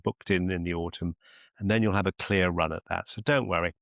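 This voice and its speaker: Male mentor, deep register with plenty of fry, reassuring and explanatory in conversation. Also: steady tone, brisk, no echo. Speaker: medical mentor.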